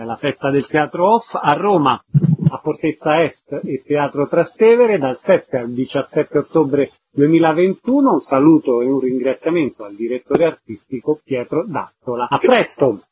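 Husky higher-pitched male radio host. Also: expressive, normal speed, darkish tone. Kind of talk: radio interview presenting. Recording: muffled, band-limited radio interview audio.

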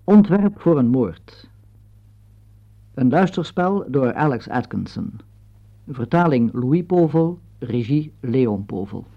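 Male radio drama announcer: formal, deliberate, expressive intonation, muffled old recording. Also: medium pitch, vocal fry. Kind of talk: formal scripted title announcement. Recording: dull lo-fi vintage radio recording.

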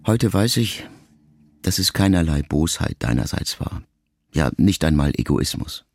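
A man reading a literary text aloud as an audiobook, in a measured, reflective way with long pauses. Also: very deep voice, big pitch swings, vocal fry, no room sound, clean studio sound. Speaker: audiobook reader. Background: soft music.

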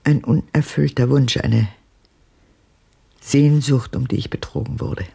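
An older actress playing a haunted, wistful elderly woman in a radio drama, husky and gravelly, hesitantly recalling her past. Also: rumbling low, steady tone, dark tone, exaggerated voice. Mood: haunted, reflective. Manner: hesitant, halting, reflective. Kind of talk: German radio drama acting.

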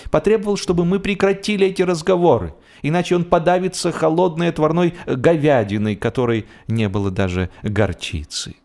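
A man narrating humorous literary prose as an audiobook, expressively and with deliberate, measured pauses. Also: on the low side, darkish tone.

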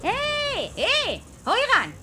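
An actress playing a brisk, sardonic, no-nonsense television studio woman in an audio drama, wry and scolding. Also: very high voice, wildly swooping pitch, sharp tone, slight background noise, heavily acted. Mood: indignant.

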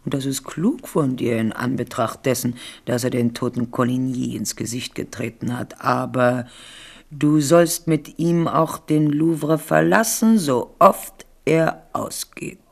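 A woman's voice: extremely low pitch, unhurried, vocal fry, exaggerated voice. Scheming old queen mother, cynical and condescending, radio drama. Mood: scheming, scornful. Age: older. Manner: condescending, calculating. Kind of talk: 1970s German radio play acting.

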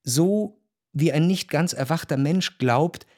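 An actor playing a reflective, grieving narrator in a literary radio drama, with measured, introspective and deliberate phrasing. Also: male voice, fairly high pitch, darkish tone. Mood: sorrowful, reflective. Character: reflective, grieving male narrator remembering his grandmother.

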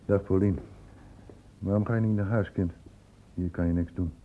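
A man's voice: sits low, steady tone, normal speed, warm tone, slight background noise, close to an everyday voice. A man playing a concerned, insistent doctor, stern but caring, in a Dutch radio drama. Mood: grave, subdued. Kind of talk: Dutch radio play acting. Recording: muffled, phone-like old radio recording.

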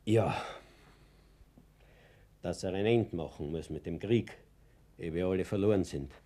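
A man's voice: low-pitched, measured pace, dark tone. An actor playing a naive, self-important elderly Viennese man in a radio drama, speaking insistently in an Austrian accent. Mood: earnest, confident.

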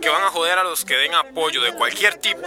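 An actor playing a worried migrant-aid activist in a radio drama, anxious and earnest. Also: male voice, fairly high pitch, expressive, a little fast, crowd noise, natural voice. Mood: worried, urgent.

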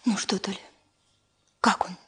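An actress in a Russian radio drama playing an engineer's gentle wife, polite and hospitable, in a very echoing recording. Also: female voice, measured pace, natural voice. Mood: light, concerned. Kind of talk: 1970 Soviet radio drama acting. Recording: echoing, roomy radio-play sound.

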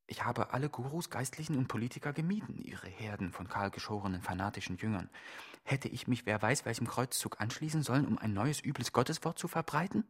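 A man's voice: mid-range voice, expressive, fairly quick, breathy edge. An actor playing an accused man in a radio play, insistently and rhetorically pleading his total innocence. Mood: reflective, defensive. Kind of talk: theatrical radio play monologue, litany-like.

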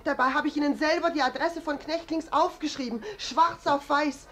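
An actress playing an anxious, fretful bourgeois housewife in a German radio play. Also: female voice, high-pitched, fairly even intonation, moderate pace, fairly bright tone. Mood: flustered, upset. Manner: fretful, complaining.

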